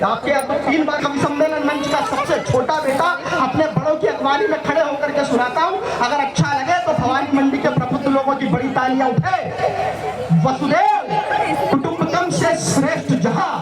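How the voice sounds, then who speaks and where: A man from Madhya Pradesh performing comic poetry on stage, telling jokes with playful punchlines. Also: very high voice. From Madhya Pradesh, India. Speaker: stage poet. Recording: echoey live PA sound from far off, crowd noise.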